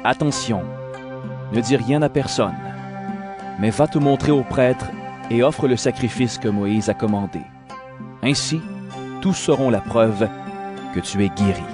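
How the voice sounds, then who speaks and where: Guy playing an authoritative holy teacher, solemn firm voice, medium pitch, bible audio drama with music behind. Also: measured pace, pauses often, close to an everyday voice. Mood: stern. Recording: voice over clear background music.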